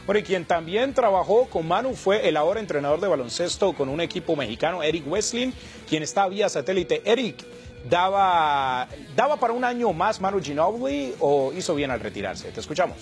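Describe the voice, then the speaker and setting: A man's voice: high voice, sing-song. Male sports anchor, formal broadcast read, light music bed underneath. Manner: brisk, formal newsreading delivery. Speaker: news anchor.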